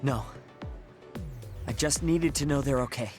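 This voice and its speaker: A man's voice: mid-range voice, a little slow, a little mellow, faint music behind, lightly acted. A man voicing a proud, prickly young psychic soldier in an English anime game dub, curt and defensive. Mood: subdued.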